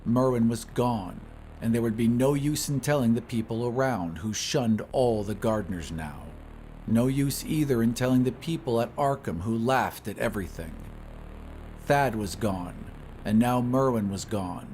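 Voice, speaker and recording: A man reading a scripted horror story in a measured, literary storytelling manner. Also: mid-range voice, darkish tone, a little hiss or hum, low-quality recording. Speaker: audiobook narrator. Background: ambient sound effects.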